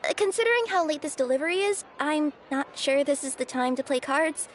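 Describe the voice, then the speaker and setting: A woman's voice: high voice, lively intonation, a little fast, a little hiss or hum. A woman voicing a young cat yokai courier, bubbly, sheepish and apologetic, in a video game. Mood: cheerful. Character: young female cat yokai courier with two tails, cheerful and flustered. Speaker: delivery courier character. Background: game music.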